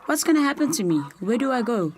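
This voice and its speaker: A woman's voice: on the high side, big pitch swings, fast, a little hiss or hum. A woman playing a defiant, guarded young woman in a radio drama, stubborn and defensive.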